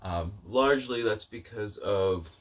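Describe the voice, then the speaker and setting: A man's voice: lowish voice, a little slow, far from the mic. A man giving a casual, rambling book-review chat to viewers, informal and self-deprecating in his delivery. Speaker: book vlogger.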